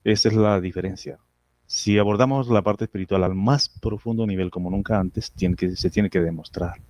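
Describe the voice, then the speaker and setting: A man's voice: on the low side, steady tone, moderate pace, darkish tone, dry recording. A man answering as a hypnotherapist guest in a casual interview, speaking emphatically and repeating phrases with self-assurance.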